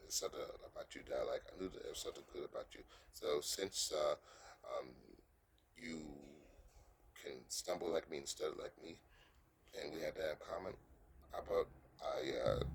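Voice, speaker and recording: A man's voice: very deep voice, slight background noise. A man giving dating advice to other men in a rambling motivational monologue, often stumbling, restarting and repeating phrases for emphasis.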